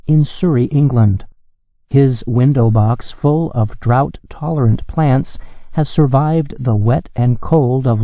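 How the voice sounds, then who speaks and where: A man reads a news script for learners in a measured, steady delivery. His voice is very dark and warm, and he reads slowly. The recording is dry and slightly dull. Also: medium pitch, no echo. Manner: measured, evenly paced delivery. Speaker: news reader for English learners. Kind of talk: slow, clear scripted reading.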